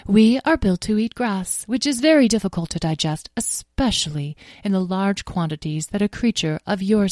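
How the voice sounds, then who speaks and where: A woman's voice: mid-range voice, warm tone, no echo, studio quality, voice pushed up, natural voice. Woman as a genteel, formal pony, raised voice. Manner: formal, measured, drawn-out, sympathetic. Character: genteel pony, kindly, learned and earnest. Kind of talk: children's storybook character voice.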